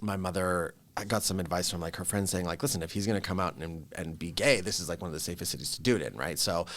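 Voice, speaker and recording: A man's voice: deep voice, quick pace, fairly bright tone. A man around forty from the Vancouver area, a podcast guest talking in a casual, chatty way, laughing often at himself. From Canada (Vancouver area). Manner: chatty, laughing, self-deprecating storyteller. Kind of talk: casual interview chat.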